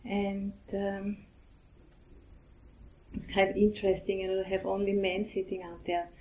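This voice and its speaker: Austrian woman, middle-aged nun, accented English, warm flat delivery, hesitant dharma talk. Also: mid-range voice. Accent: Austrian German. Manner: gentle, hesitant, halting with restarts.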